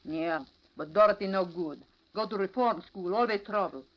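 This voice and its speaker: A woman's voice: mid-range voice, normal speed, lightly acted. Actress as surly foreign-accented mother, muffled radio. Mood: bitter, guarded. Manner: broken English, guarded, blunt. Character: suspicious, surly immigrant mother in a tenement. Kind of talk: 1940s radio drama acting. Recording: muffled old radio recording.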